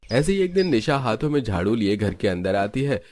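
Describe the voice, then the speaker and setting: A man's voice: mid-range voice, very animated, brisk, darkish tone, slight background noise. A man reading scripted narration for a family drama cartoon story.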